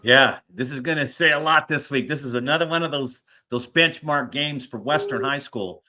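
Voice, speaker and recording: A man's voice: mid-range voice, moderate pace, pushed and bright. An American man hosting a sports show, talking conversationally as an interviewer.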